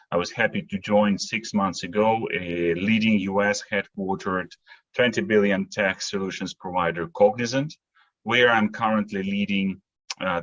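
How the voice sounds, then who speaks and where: A man's voice: low voice, fairly bright tone, highs cut off. A man, giving explanatory answers as a podcast guest. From Latvia. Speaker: podcast guest, industry consultant. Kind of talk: interview answers, explanatory talk.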